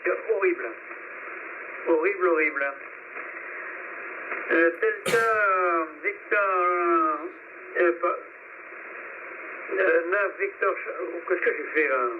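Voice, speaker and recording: Slow, rambling man over crackly radio. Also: high voice. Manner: rambling, hesitant, self-correcting. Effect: radio transmission. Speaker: amateur radio operator. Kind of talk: casual ham radio chat, giving signal reports. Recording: narrow, noisy shortwave radio signal.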